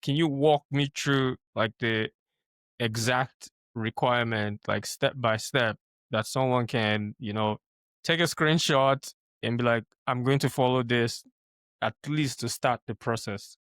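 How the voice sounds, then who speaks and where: Animated male podcast host, dry mic. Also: mid-range voice, measured pace, clear, resonant tone, dry recording. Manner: animated, exclaims in surprise, rambling questions. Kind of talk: casual podcast interview.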